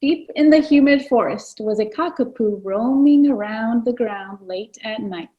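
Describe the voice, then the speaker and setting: A woman's voice: on the high side, unhurried, muffled recording. A woman author reading her own picture book aloud to children. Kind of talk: children's read-aloud narration.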